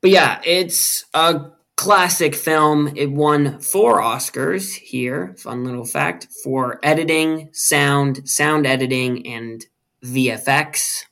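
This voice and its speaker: A man's voice: on the high side, slow pace, gravelly, bright, forceful tone. A man in his twenties hosting a podcast, chatting and teasing his co-host about a film. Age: 20s. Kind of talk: casual movie review chat.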